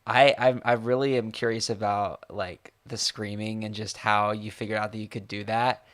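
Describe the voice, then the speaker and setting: A man hosting an interview over a call, chatty and supportive, thinking out loud as he asks questions. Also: fairly low pitch, little pitch movement, normal speed. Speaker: podcast host.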